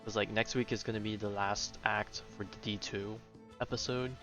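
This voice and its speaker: Low-voiced young man, rambling. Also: steady tone, normal speed, fairly bright tone, faint music behind. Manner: rambling, self-interrupting, informal. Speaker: game streamer. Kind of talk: casual livestream chat over gameplay.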